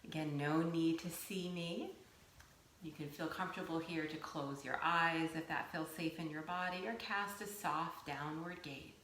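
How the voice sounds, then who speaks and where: A woman teaching yoga nidra, giving gentle guided meditation instruction in a soothing, unhurried way with pauses. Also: low voice, fairly even intonation. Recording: roomy echo, faint background noise.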